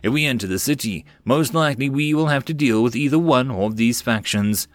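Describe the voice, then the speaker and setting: A man voicing a level-headed, wary male marine commander who speaks in a measured way. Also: medium pitch, no echo, clean studio sound, close to an everyday voice. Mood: matter-of-fact.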